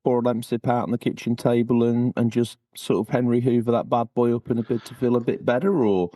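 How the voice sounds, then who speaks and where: A man hosting a gaming podcast, joking and teasing his guest in quick banter. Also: mid-range voice, level tone, dark tone. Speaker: podcast host. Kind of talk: casual chat.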